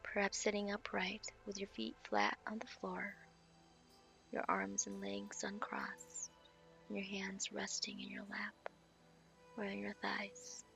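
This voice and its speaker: Meditation guide: woman, hushed unhurried delivery, faint music bed. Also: medium pitch, completely flat delivery, gravelly. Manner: soft, slow, whispery delivery.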